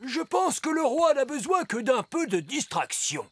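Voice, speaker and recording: A man voicing a wise old elf in a cartoon dub, cheerful and authoritative, with a deliberate, proclaiming manner. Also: very high-pitched, very animated, background noise, full character voice. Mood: genial.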